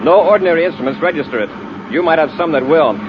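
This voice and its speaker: An actor playing an authoritative, decisive space patrol commander in a clipped, commanding manner, in the style of 1950s radio drama. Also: male voice, fairly high pitch, lively intonation, fast talker, lightly acted. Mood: firm, decisive. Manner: clipped, commanding, issuing orders. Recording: muffled, noisy old 1950s radio recording.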